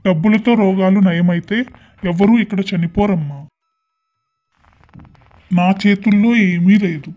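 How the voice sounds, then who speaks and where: A man's voice: high voice, unhurried, long pauses. A man playing plain-spoken male characters in a cartoon moral story, explaining things simply. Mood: grave. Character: young son and a doctor in a cartoon moral tale. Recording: dull, muffled lo-fi sound.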